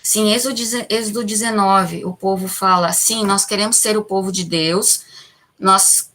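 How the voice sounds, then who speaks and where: A woman's voice: mid-range voice, steady tone, measured pace, a bit bright. A Brazilian woman teaching a classroom Bible lesson, explaining patiently, asking the class questions and answering them herself, tagging sentences with little checks. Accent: Brazilian Portuguese. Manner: peppers sentences with "tá?" and "né?".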